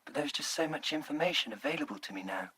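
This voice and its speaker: A man's voice: medium pitch, expressive, fast talker, sharp tone, breathy edge, voice pushed up. A man voicing an earnest, polite government therapy robot in a science fiction audio play. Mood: calm, earnest.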